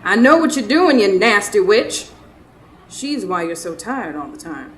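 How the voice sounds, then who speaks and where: A woman acting as a caring but suspicious, sharp-tongued wife in a radio drama. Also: mid-range voice, very animated, a little slow. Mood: jealous, scornful. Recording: roomy live stage, faint noise.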